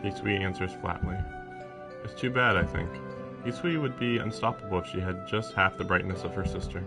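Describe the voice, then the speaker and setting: A man with a low voice reads visual novel narration aloud on a game stream. Game music plays clearly behind him. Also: brisk.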